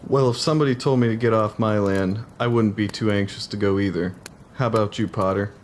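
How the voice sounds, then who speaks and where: A man playing a doubting, plainspoken trooper in a western dramatization. His voice is on the low side, with folksy frontier wording. A faint layer of ambient noise runs underneath. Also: steady tone, brisk, close to an everyday voice. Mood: skeptical. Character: skeptical but ambitious cavalry private. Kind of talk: historical dramatization dialogue.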